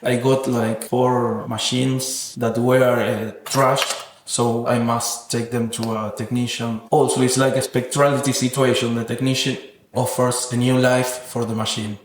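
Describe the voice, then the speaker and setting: A man's voice: medium pitch, level tone, unhurried, slight background noise. Reflective man in an echoey room. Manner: thoughtful, academic wording. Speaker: interviewed sound artist. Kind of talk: reflective interview talk about own work. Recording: echoey, roomy sound.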